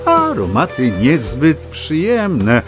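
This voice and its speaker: An actor playing a wordy, old-fashioned storyteller who narrates a children's fable with pompous, digressive relish. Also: male voice, a little high, huge pitch swings, slow, music in the background, exaggerated voice. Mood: wry, musing. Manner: pompous, digressive, savoring each word.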